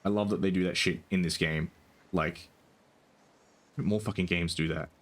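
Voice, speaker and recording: A young man with a soft, soothing voice, chatting casually while streaming a game, rambling with lots of ums and casual swearing. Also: sits low, steady tone, normal speed. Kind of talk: casual gaming stream chat.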